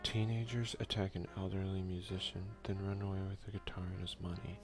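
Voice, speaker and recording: Deep gravelly man doing ASMR game commentary. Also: fairly even intonation, fairly quick. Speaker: gaming video host. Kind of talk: casual let's play commentary in ASMR style, partly reading game text aloud. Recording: music behind the voice.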